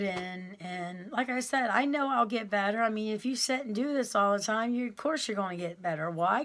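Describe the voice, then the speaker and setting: A middle-aged woman vlogs to camera, taking her time over each thought at an unhurried, drawn-out pace. Her voice is loud and carries, sitting at an ordinary pitch for a woman. She rambles warmly, sprinkling in fillers and talking to her viewers as "y'all.". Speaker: vlogger recounting her time in prison. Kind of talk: casual storytelling to camera.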